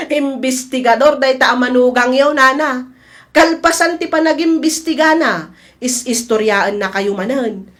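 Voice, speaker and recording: A meddling Ilocano shopkeeper , acted by a woman, talks in a high voice. She is chatty and confiding, with a disapproving edge suited to village comedy drama. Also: measured pace. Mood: gossipy.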